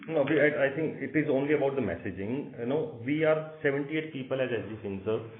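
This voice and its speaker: An Indian-accented man, a company executive giving halting, off-the-cuff answers with repeated phrases on an earnings call. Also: mid-range voice. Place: conference call. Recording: roomy echo, narrow phone-like line.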